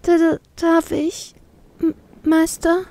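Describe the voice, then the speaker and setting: A woman's voice: very high-pitched, completely flat delivery, slow pace, warm tone, pitched above the performer's own voice. A woman voicing a timid, flustered young woman who speaks hesitantly and nervously in an audio story. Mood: nervous longing. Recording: very echoey, reverberant voice.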